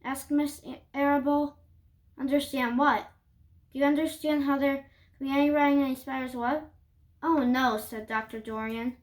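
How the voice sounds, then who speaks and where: A woman reading a children's story aloud, haltingly, with stumbles and restarts. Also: sits high, steady tone.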